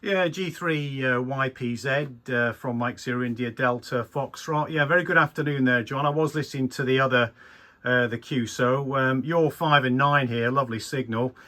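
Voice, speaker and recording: A man's voice: medium pitch, normal speed, bright, forceful tone. An English man talking casually and enthusiastically as an amateur radio operator. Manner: chatty, enthusiastic. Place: small indoor radio room.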